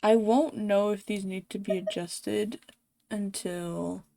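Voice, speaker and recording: A woman's voice: mid-range voice, steady tone, slow, gravelly, warm tone, no echo. A woman game streamer chatting casually to viewers as she plays. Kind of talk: casual livestream chat while playing a game.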